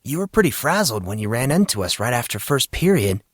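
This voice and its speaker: A man's voice: mid-range voice, very animated, brisk, no room sound, clean studio sound. A man voicing a wry, considerate but assertive fourteen-year-old boy with a direct manner in an audio story. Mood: curious.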